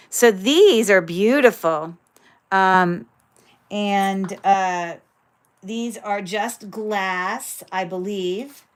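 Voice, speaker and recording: Woman, livestream seller, chatty, filler-heavy, lower voice, crisp clear sound. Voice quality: describes own voice as loud. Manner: chatty, many fillers, thinks aloud while handling items. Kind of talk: casual livestream sales chat.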